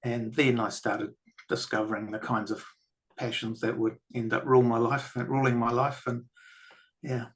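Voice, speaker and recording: An older man with a New Zealand accent, a filmmaker and author guest in a podcast interview, telling stories in a reflective, unhurried way. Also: medium pitch, level tone.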